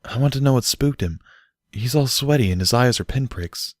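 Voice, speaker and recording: A young unicorn mare played by a man sounds concerned and insistent. The storybook voice is raised in pitch, stubborn and worried. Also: lively intonation, moderate pace, no room sound, studio quality, lightly acted.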